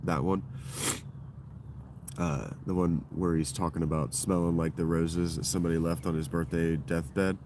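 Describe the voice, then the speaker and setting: A man with a deep voice hosting his own livestream, talking in a loose, digressive and profane monologue that keeps circling back on itself. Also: steady tone, normal speed, darkish tone, slight background noise. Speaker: livestream host. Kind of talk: rambling solo livestream monologue.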